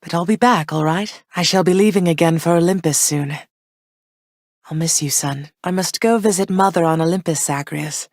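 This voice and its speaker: Woman voicing a gentle, motherly underworld queen for a game; low-pitched, warm, gracious, dry close studio read. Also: moderate pace, no echo, natural voice. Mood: tender. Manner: warm, gracious, softly reassuring. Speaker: game character. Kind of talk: game voice lines.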